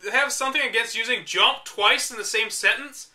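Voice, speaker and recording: Young male reader with a high, bright voice; sarcastic commentary between passages. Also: a little fast. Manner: wry, exasperated asides. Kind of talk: reading a book aloud with sarcastic commentary.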